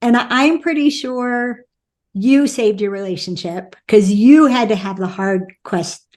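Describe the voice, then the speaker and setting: An American woman speaking as a guest relationship expert, emphatic and quick to laugh. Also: a little high, a little mellow. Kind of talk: casual interview talk.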